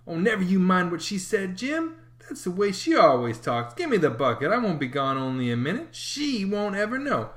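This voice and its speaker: A mischievous young boy wheedles and bargains, his voice pitched up and bouncing, as a man reading the book puts him on. Lively and persuasive, in a storybook reading. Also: normal speed, few pauses. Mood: coaxing.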